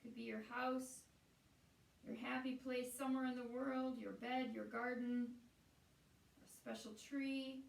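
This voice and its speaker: A woman guiding a meditation as a teacher, speaking in a soothing, unhurried way with pauses between phrases. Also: fairly high pitch, flat delivery, creaky. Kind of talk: guided meditation. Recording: roomy echo, distant from the mic.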